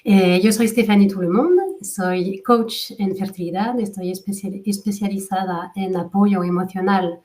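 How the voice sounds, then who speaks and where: A woman's voice: mid-range voice, measured pace, hardly any pauses, warm tone. French-accented woman hosting a webinar. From France. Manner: hesitant, many fillers and restarts. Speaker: fertility coach and webinar host. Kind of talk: hosted webinar introduction. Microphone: video call.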